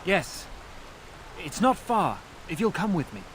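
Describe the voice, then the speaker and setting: An actor playing a young village guard in a game, speaking deferentially and quickly. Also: male voice, high voice, sing-song, fairly bright tone, slight background noise, exaggerated voice. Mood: eager.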